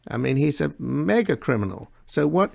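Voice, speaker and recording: A man hosting a political interview in a gravelly, creaky voice, putting long, halting, opinionated questions. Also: on the high side, very animated, a little mellow, dry recording. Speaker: interview host.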